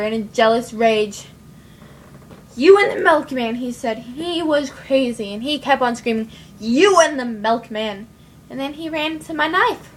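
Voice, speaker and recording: A woman's voice: sits high, slight background noise. A woman doing a mock-innocent knife killer. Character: woman insisting her husband ran into her knife.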